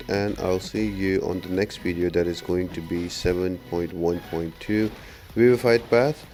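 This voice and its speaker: A man's voice: low voice, a little mellow. A man giving a casual gameplay tutorial as host, explaining things step by step. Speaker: gaming video host giving a boss guide.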